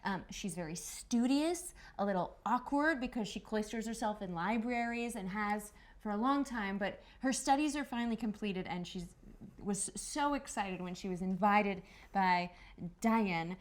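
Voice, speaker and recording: A woman's voice: mid-range voice, unhurried. A woman player casually describing her character at a tabletop roleplaying game.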